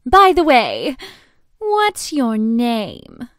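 A woman's voice: on the high side, huge pitch swings, drawn-out words, no room sound, studio quality, exaggerated voice. A woman playing a haughty, bossy rich young lady in a visual novel, with an imperious, condescending manner.

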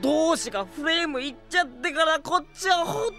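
A man's voice: extremely high pitch, moderate pace, pushed and bright, soft background music, exaggerated voice. A man playing a tipsy young sorcerer in an anime audio drama, talkative and pushy, with slurred, rambling speech. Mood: boisterous, tipsy. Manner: slurred, boisterous drunken rambling.

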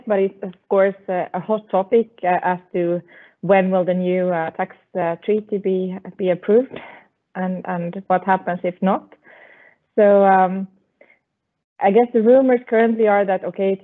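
Woman on a tinny, phone-like call. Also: mid-range voice. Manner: measured, with fillers. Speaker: tax advisor panelist. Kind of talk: webinar answer. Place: home room with framed art. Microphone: earbuds on video call.

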